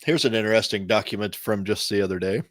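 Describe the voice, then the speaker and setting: A man's voice: fairly low pitch, steady tone, fast talker, no echo, clean studio sound. A man co-hosting a tech show, speaking casually in a panel discussion.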